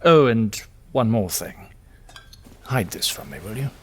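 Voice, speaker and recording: An actor playing a middle-aged father and troubled leader, tender and apologetic, speaking hesitantly with gentle wryness in an audio drama. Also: medium pitch, expressive, measured pace. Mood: wry, troubled.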